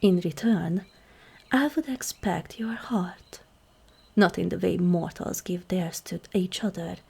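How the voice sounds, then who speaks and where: A woman playing a regal, seductive ancient demon queen in an ASMR roleplay, measured and commanding, with a husky, breathy, creaky voice that often softens toward a whisper. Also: lowish voice, full character voice. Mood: solemn, persuasive. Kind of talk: ASMR roleplay, scripted monologue to the listener.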